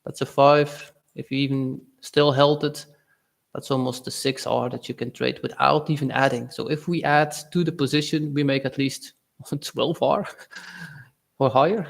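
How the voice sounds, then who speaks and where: Gravelly-voiced man on a live stream. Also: medium pitch, steady tone. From Belgium. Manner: casual, halting, reads chat aloud with fillers. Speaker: day trader streaming live. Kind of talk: live-stream trading commentary.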